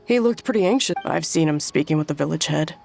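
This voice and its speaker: A woman's voice: deep voice, expressive, quick pace, creaky, darkish tone, soft background music. A woman voicing a helpful, hospitable villager in a video game. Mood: friendly, helpful.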